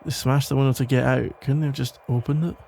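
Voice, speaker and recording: A man playing a protective, worried police officer and ex-boyfriend in an ASMR audio roleplay, talking in a halting, casual, gently exasperated way with a gravelly voice. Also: mid-range voice, fairly even intonation, slight background noise, lightly acted. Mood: calmer, inquisitive. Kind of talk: ASMR roleplay, conversational one-sided acting.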